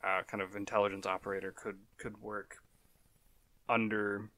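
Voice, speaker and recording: A man's voice: lowish voice, flat delivery, normal speed, bright, forceful tone, no room sound. A man with a sarcastic edge on a casual podcast, recorded close and dry. He swears freely and hedges his explanations with "um" and restarts. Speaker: podcast co-host, writer.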